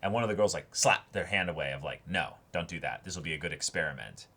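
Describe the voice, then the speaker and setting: A man hosting a casual discussion show, talking enthusiastically in slangy, animated phrasing. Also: sits low, fast, slightly rough. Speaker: host of a reaction and discussion show.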